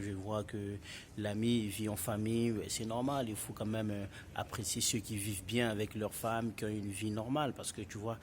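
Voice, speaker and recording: A man with a Congolese French accent casually tells his personal story in an emphatic, repetitive way, addressing listeners as buddies. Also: on the low side. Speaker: vlogger telling his own story. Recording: clearly noisy ambient background.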